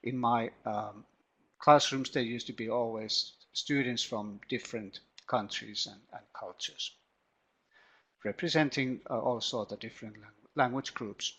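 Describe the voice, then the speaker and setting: A middle-aged man with a Finnish accent gives an online lecture as a teacher trainer, speaking haltingly and often repeating words. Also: medium pitch, gravelly, bright, forceful tone, husky. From Finland. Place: home study room. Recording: muffled lo-fi online call audio.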